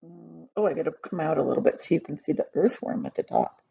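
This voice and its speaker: A woman's voice: low voice, fast, creaky, dark tone, breathy edge, no echo, muffled recording. A woman reading a story aloud to children like a teacher, expressively, with simple explanatory asides.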